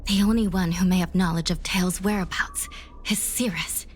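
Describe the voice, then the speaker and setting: A woman voicing a serious, composed investigator in a video game, speaking in a measured, deliberate way. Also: fairly low pitch, steady tone, slight background noise, close to an everyday voice. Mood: grave, pensive. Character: composed, stern female investigator of supernatural cases.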